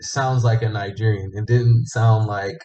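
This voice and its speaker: A man's voice: lowish voice, steady tone, measured pace, warm tone, lo-fi sound. A man hosting an investigative show, narrating and explaining findings to a guest. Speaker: scam investigator and show host.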